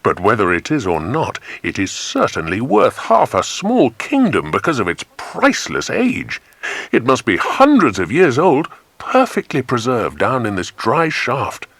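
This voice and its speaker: Man voicing a hearty, brave grown-up adventurer, animated delivery. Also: mid-range voice, measured pace, no echo, exaggerated voice. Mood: awed, thrilled.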